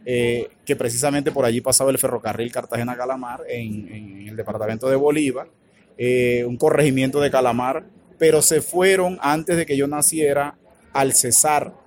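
A man with a Colombian Caribbean accent, answering interview questions in a halting, thoughtful way with many filler words. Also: medium pitch, steady tone. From Colombia's Caribbean region. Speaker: author and academic guest. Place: radio booth at a book fair stand.

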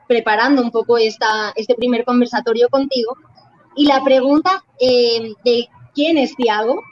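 A woman co-hosting a radio talk program, speaking in a polite, measured way. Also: fairly high pitch, muffled recording. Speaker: radio co-host.

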